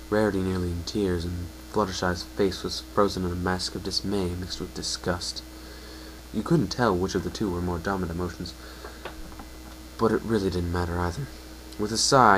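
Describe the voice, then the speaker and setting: A man's voice: low voice, a little hiss or hum, rough recording. A man giving a dramatic, scripted narration as a reader of fan fiction.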